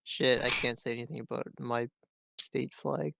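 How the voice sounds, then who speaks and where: Creaky-voiced young man. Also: medium pitch, steady tone, moderate pace, pushed and bright, dry recording. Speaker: podcast co-host. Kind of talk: casual chat among friends.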